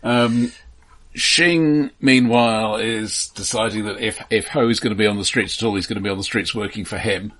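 Man, low pitch, slightly husky voice, halting delivery, dry asides, casual film podcast chat. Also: fairly bright tone, poor audio.

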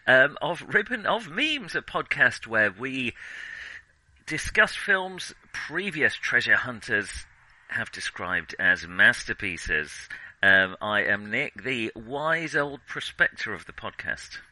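A man co-hosts a relaxed film discussion in a very bright, crisp-edged voice. His pitch moves in a lively way, while his delivery is wry and halting. The sound is dry, with little room around it. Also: no room sound, poor audio. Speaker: podcast host.

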